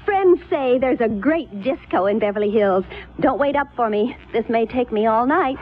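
Sassy young niece, high voice, very animated, radio drama. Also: female voice, normal speed, phone-quality sound. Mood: breezy. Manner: breezy, sassy, expressive. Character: flippant, restless college-age disco-loving niece. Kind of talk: 1970s radio drama acting. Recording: thin 1970s radio, faint music behind.

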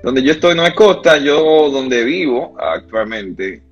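A man's voice: medium pitch, expressive, a little slow, husky, dull audio, soft background music. A Dominican man from Santiago, a guest in a casual livestream chat, talking with many fillers and restarts. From Dominican Republic.

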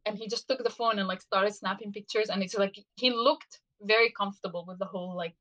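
A woman's voice: mid-range voice, steady tone, brisk, fairly bright tone. A woman whose first language is Arabic, co-hosting a podcast in casual chat, hesitant with many fillers. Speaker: podcast co-host. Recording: dull lo-fi mic.

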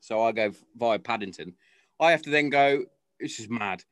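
A man's voice: medium pitch, lively intonation, moderate pace, fairly bright tone, dry recording, studio quality. A man from Hereford, telling stories in casual, swear-heavy banter. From Hereford, England. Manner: sweary, laddish storyteller. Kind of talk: casual chat.

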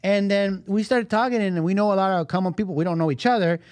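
A man with a Puerto Rican accent, co-hosting a podcast in casual chat, animated and given to restarts. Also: high voice, quick pace, no echo. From Argentina. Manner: animated, restarts and trails off, switches into Spanish. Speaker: podcast co-host.

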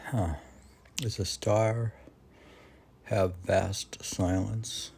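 A man hosting a poetry podcast, reading verse and musing aloud in halting, questioning asides as if talking to himself. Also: fairly low pitch, fairly even intonation, vocal fry, a little mellow, slight background noise. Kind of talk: poetry reading with rambling casual commentary.

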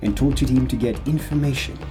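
A man's voice: mid-range voice, a little fast. A man narrating a scripted dramatic tale of storytelling radio. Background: music.

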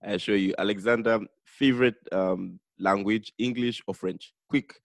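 Low gravelly guy hosting a podcast, teasing his guest, dry close sound. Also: fairly even intonation, normal speed, dry recording. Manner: playful, teasing questioner. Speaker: podcast host. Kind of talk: casual podcast interview.

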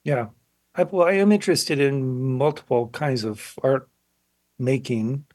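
A man in his sixties speaks as an interview guest in a mid-range voice. He is reflective and thoughtful, often halting to find the right word. The sound is close and dry, with little room in it. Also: mid-range voice, a little mellow, no room sound. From grew up in South Korea as child of missionaries. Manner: thoughtful, halting, searching for words. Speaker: guest, painter.

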